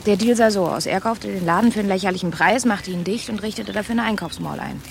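A woman's voice: medium pitch, fast talker, vocal fry, slight background noise, close to an everyday voice. An actress playing a rich businessman's sardonic, outspoken daughter in a radio crime drama, with a blunt, mocking way of talking. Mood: bitter, blunt.

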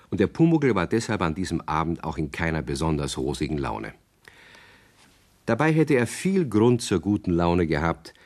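Children's radio play narrator: low, lively intonation, friendly, chatty, measured storytelling. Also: male voice. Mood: wry, knowing.

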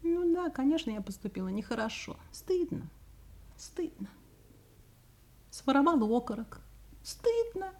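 An actress playing a sly, proud trickster fox in a fairy-tale audio play, theatrical and ironic. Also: female voice, sits high, sing-song, unhurried, pauses often, darkish tone, heavily acted. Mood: mock repentant, defiant.

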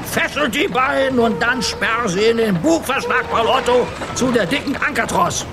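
An older actor voicing a gruff, bossy red-bearded pirate captain who bellows his orders in a children's radio play. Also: very high voice, moderate pace, over music, pitched above the performer's own voice. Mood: barking orders.

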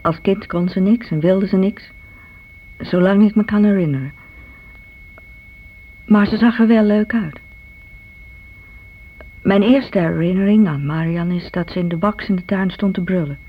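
Actress as blunt, resentful elder sister, muffled recording. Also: female voice, mid-range voice, measured pace, long pauses, close to an everyday voice. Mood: disdainful. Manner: frank, disdainful. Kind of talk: radio play monologue, confiding. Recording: muffled old radio recording, faint hiss.

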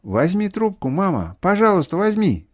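Мужчина озвучивает отчаянную дочь, которая резкими, срочными приказами командует семьёй в аудиорассказе. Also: male voice, fairly high pitch, sing-song, moderate pace, husky, no room sound, clean studio sound, full character voice. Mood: desperate. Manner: urgent, clipped orders. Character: commanding, desperate daughter rallying her family.

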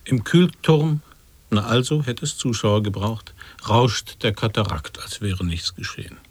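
A man's voice: on the low side, expressive, measured pace, breathy edge. An actor playing a solemn man who issues abstract, paradoxical instructions in formal winding phrases in an avant-garde radio play. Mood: cryptic.

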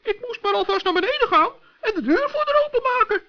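Little male forest gnome: extremely high, chirpy, kindly, curious, gently scolding; muffled old radio-play sound. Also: lively intonation, quick pace. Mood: curious surprise. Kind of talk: children's radio play acting. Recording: dull old radio recording.

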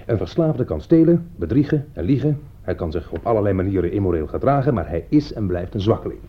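An opinionated male jurist in a radio play, quick to take offence and fond of scornful lecturing. His lowish voice moves animatedly through its range, on a muffled recording with some hiss. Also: sing-song, slightly rough. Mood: insistent, lecturing. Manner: argumentative, pedantic, scornful. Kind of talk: Dutch radio play acting. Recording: dull, noisy old radio play recording.

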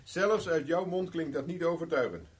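An actor playing a wry, unruffled private investigator in a 1970s Dutch radio play, bantering casually with a sardonic edge. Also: male voice, fairly high pitch, normal speed, slightly rough. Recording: noisy vintage radio drama recording.

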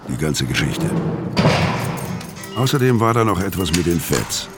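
Deep low male voice, bitter battered ex-hitman, terse grim crime radio drama narration, prominent music behind. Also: very animated, measured pace. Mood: weary, matter-of-fact.

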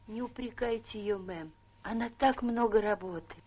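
An actress playing a soft-hearted, deferential maidservant in a radio drama, emotional and respectfully pleading. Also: female voice, medium pitch, moderate pace, breathy edge, highs cut off, close to an everyday voice. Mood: meek pleading. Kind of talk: Russian radio drama stage acting.

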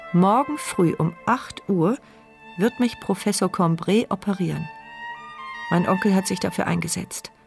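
An actress playing a tender, resilient woman who narrates her inner thoughts in a German radio crime drama, reflective, intimate and measured. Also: medium pitch, natural voice.

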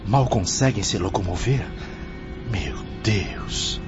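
An actor playing a shocked, pitying male visitor among spirits in a radio drama, hushed, with music behind. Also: fairly low pitch, expressive, slow pace. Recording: dull lo-fi audio under music.

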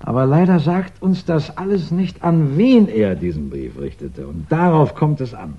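An actor playing a courteous, probing police inspector in a 1960s radio crime drama, speaking deliberately with thoughtful pauses. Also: male voice, on the high side, big pitch swings, breathy edge, exaggerated voice. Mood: pondering, probing. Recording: dull, band-limited 1960s radio recording.

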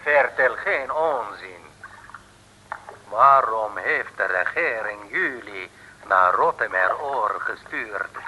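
A menacing Russian general in a vintage radio serial. He talks slowly with very sharp, forceful tone and swinging pitch, formal and pompous, on an old hissy recording. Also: animated, long reverb tail, exaggerated voice, rough recording. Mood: stern interrogating. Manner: slow, formal, coldly threatening. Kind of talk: 1950s radio drama acting.

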